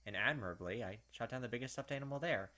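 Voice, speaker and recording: A man hosting a let's-play, switching between reading story text aloud and animated, puzzled commentary on it. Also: medium pitch, fast. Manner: animated, puzzled reactions and speculation, mimicking characters. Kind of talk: gameplay commentary mixed with reading visual novel text aloud. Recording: music playing behind the voice. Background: game background music.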